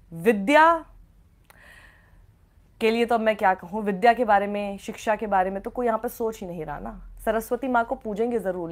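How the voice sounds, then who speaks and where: A woman journalist delivering opinionated news commentary in a scathing, sarcastic way, often with rhetorical questions. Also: a little high. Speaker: journalist presenting a report.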